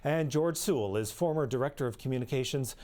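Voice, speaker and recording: A man hosts a political news panel in a mid-pitched voice. He introduces and questions guests in a structured broadcast manner. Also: quick pace, a little mellow. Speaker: news host and panel moderator.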